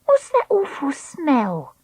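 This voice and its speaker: A woman's voice: high voice, huge pitch swings, slow, a little mellow, dry recording, studio quality, pitched above the performer's own voice, heavily acted. A woman reader voicing a young girl, a blunt and wondering best friend in a storybook, speaking plainly. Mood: disgusted.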